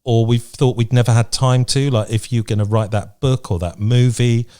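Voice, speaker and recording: A man's voice: medium pitch, fairly even intonation, moderate pace, warm tone, smooth. A man co-hosting a podcast in casual chat, with an encouraging, upbeat manner. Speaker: podcast co-host.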